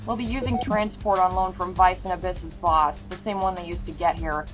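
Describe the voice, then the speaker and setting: A woman's voice: lowish voice, fairly even intonation, moderate pace, music in the background, close to an everyday voice. A woman playing a frank, practical agent in an audio drama. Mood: matter-of-fact.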